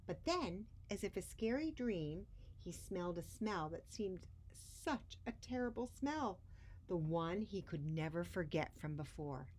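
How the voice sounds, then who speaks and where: A woman reading a picture book aloud to children expressively, with dramatic pauses and emphasis on key words. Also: fairly low pitch, expressive, darkish tone, slight background noise. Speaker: children's story reader.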